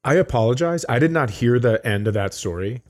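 A man's voice: mid-range voice, animated, a little fast, darkish tone. A man co-hosting a comedy podcast, chatting casually in a dry, hesitant way.

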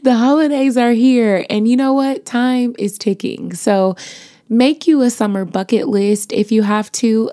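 A young adult woman hosting her podcast, chatty and playful, her voice raspy as it recovers from being lost, with features. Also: mid-range voice, warm tone, no room sound, clean studio sound. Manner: chatty, playful, sprinkles in laughs and slang. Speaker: podcast host. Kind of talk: casual motivational podcast talk.

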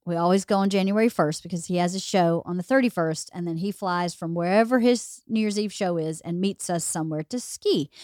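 A woman hosting a podcast in casual chat, her voice a little hoarse as she loses it, talking in a rambling, chatty way. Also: lowish voice, dry recording, clean studio sound. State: slightly hoarse, losing her voice. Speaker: podcast host.

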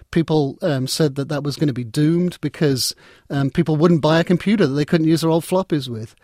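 Fast-paced man, slightly high pitch, interview guest, discursive, conversational. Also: a little mellow, dry recording, clean studio sound. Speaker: interview guest, technology writer and editor.

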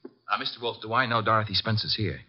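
An actor playing a cheeky, flippant detective's assistant in a 1950s radio drama, breezy and sarcastic. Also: male voice, fairly low pitch, fast, lightly acted. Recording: dull lo-fi vintage radio recording.

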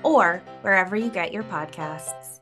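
A woman's voice: lowish voice, unhurried, bright, forceful tone. A woman podcast host reading a scripted promo. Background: music.

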